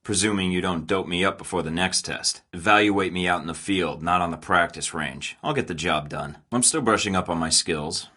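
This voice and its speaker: A cocky young spy recruit, talks in a deep, low register with a forceful, bright edge. He is sarcastic, blunt and impatient, as in a studio-recorded video game. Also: normal speed, hardly any pauses, husky, heavily acted. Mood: wry, impatient. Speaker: voice actor.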